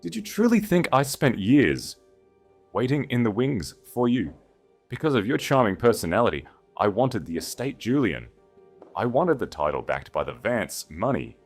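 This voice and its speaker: A man's voice: medium pitch, very animated, faint music behind, voice pushed up. A man voicing a cold, calculating, contemptuous aristocratic woman with clipped disdain in a dramatic audiobook story. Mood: scornful. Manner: icy, slow, clipped disdain.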